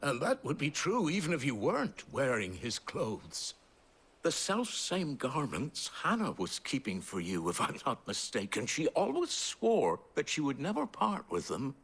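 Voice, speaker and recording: A husky, hugely animated village mayor. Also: male voice, mid-range voice, heavily acted. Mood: awed, delighted. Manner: animated, unhurried, swooping. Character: effusive, deferential older village mayor, delighted. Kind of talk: video game cutscene acting.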